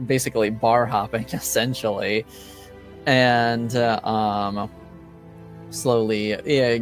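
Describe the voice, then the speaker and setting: A man hosts a tabletop roleplaying game in a casual, unhurried way. He talks slowly and haltingly, pausing often as he goes, with music playing behind him. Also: lowish voice. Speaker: game master and host.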